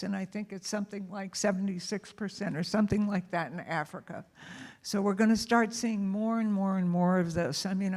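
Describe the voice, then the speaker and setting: A woman moderating a conference session, summarizing in a conversational way with many "you know" fillers, speaking in a conference room. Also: medium pitch. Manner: says she has a loud voice.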